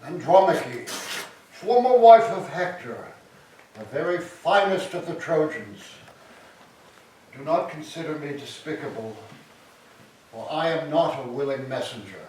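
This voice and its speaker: A man performs an unwilling messenger in a Greek tragedy, a servant with grim news. The voice is a little high, formal and apologetic. It sounds distant in a very reverberant hall with faint noise. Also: lively intonation, a little slow, long pauses, darkish tone. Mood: uneasy. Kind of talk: staged reading of Greek tragedy.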